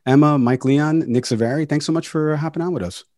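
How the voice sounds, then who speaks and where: A man's voice: fairly high pitch, fairly quick, warm tone, no room sound. A man hosting a podcast in casual, friendly chat. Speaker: podcast host.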